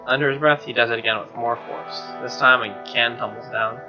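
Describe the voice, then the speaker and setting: A man plays through a visual novel, narrating in a mid-pitched voice and breaking into incredulous, sweary asides. The sound is slightly dull, with guitar music clearly behind him. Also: steady tone, brisk. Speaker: let's player reading a visual novel aloud. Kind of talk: story narration with casual sweary reactions.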